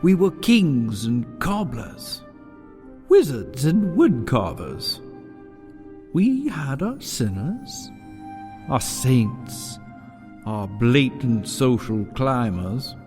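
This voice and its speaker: An actor playing a grave storyteller of an exiled people, narrating a dramatic audio prologue with deliberate delivery. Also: male voice, mid-range voice, sing-song, darkish tone, faint music behind. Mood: solemn, wistful. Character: solemn storyteller of an exiled fairy-tale people.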